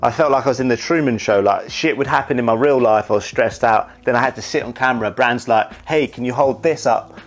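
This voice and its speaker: A man's voice: medium pitch, fairly quick, few pauses. A young man talking candidly about his life, rambling and often saying "you know". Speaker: YouTuber and wedding videographer. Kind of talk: reflective casual monologue.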